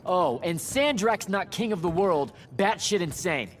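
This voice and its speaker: An actor playing an embittered, impulsive young battlemage hero in a video game, emotional and sardonic in a casual way. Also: male voice, high voice, animated, a little slow. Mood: sarcastic, irritated. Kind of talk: cinematic game acting. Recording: noisy game battle and ambience.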